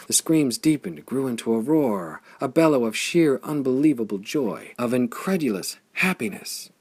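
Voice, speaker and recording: A man narrating a pulp adventure story for an audiobook, with a measured reading that grows excited with the action. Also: on the low side, slightly rough.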